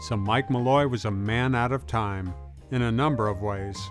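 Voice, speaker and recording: A man's voice: mid-range voice, moderate pace. A man reading scripted documentary narration in an informal, folksy way. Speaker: video essayist, narrator. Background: music bed with guitar.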